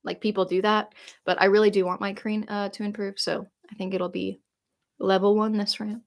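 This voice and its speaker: A woman's voice: mid-range voice, flat delivery, a little fast. A woman in her late twenties chats through viewer questions on a live stream. She rambles in a loose, friendly way, full of "like" and self-corrections, and laughs easily as she goes. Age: 20s. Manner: chatty, rambling, lots of "like" fillers and restarts; laughs easily while reading comments aloud. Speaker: YouTuber answering viewer questions. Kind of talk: casual live-stream Q&A chat.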